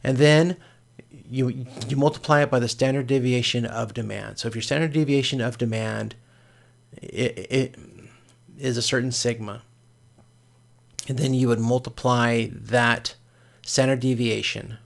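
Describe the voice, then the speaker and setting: Gravelly-voiced man explaining as an instructor. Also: mid-range voice, fairly even intonation, measured pace, long pauses. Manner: hesitant, explanatory, often repeats and rephrases. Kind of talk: informal explanatory lecture, screen walkthrough.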